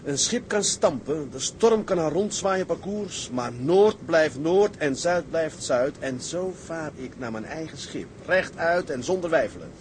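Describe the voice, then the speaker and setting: Actor as resolute seafaring captain, faint background noise. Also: male voice, on the high side, expressive, moderate pace, few pauses, lightly acted. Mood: fervent. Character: resolute, dreamy male tug captain set on owning a ship. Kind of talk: dramatised seafaring story, scripted acting.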